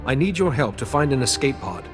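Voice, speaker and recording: An actor playing a calm, noble, reassuring Jedi master in a video game. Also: male voice, mid-range voice, a little fast, long reverb tail, over music, natural voice. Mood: composed. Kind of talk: video game cutscene acting.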